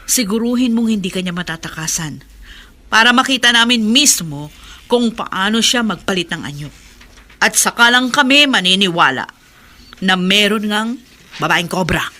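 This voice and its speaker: A woman's voice: medium pitch, expressive, moderate pace, bright, forceful tone. A woman acting a skeptical, level-headed character, firm and challenging, in a Filipino horror radio drama.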